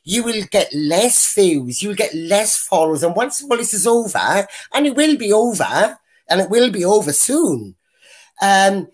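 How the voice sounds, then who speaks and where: A woman with a deep voice, bluntly giving her opinions as a guest on a video-call panel. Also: sing-song, measured pace, darkish tone, husky. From Wales. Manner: blunt, rambling, mimics others when quoting. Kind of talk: casual opinionated chat.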